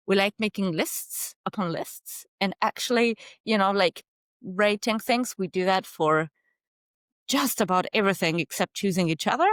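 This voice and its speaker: A woman, telling her story as a podcast guest in a measured, thoughtful way. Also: medium pitch, fairly bright tone, no room sound. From Argentina. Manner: measured and thoughtful, with some fillers and light laughs. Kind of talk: casual interview storytelling.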